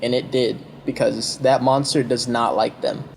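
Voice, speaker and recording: A man hosting a video with casual, excited commentary, chatting to viewers in an informal, conversational way. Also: mid-range voice, moderate pace, a little hiss or hum. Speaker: video host reviewing a horror series. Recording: loud music behind the voice. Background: loud background music.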